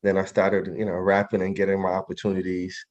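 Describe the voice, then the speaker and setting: Male poet guest, middle-aged, from New York. Very low, flat delivery, reflective conversational style. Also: brisk, dull audio. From New York, of a Trinidadian family. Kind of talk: reflective interview talk.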